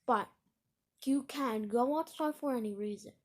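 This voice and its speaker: A high-voiced woman delivers a scripted emergency alert. She speaks slowly and formally, with a stilted, solemn delivery. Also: a little mellow. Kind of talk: scripted emergency broadcast announcement.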